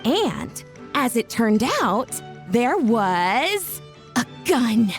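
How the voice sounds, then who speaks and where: High-pitched eager young woman, modest and flustered, lively swooping delivery, English game dub, faint music behind. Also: very slow, heavily acted. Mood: earnest, chatty. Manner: flustered, hesitant, effusive. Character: earnest, modest, bubbly young female event organizer.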